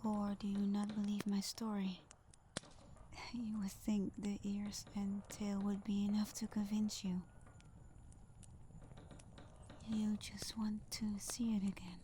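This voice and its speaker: Casting: actress as gentle, bashful fox-spirit girl, tender half-whisper, mellow and unhurried, ASMR roleplay. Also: mid-range voice, level tone, close to an everyday voice. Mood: gently teasing. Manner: soft-spoken, hesitant, tender, breathy half-whisper. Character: shy, gentle young female kitsune fox spirit rescuer. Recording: faint background noise and ambience.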